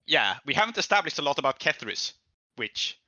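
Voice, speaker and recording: A man's voice: a little high, very animated, fairly quick, sharp tone. A man talking casually with a group about a game world, on a dull, band-limited recording. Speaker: tabletop roleplay game participant.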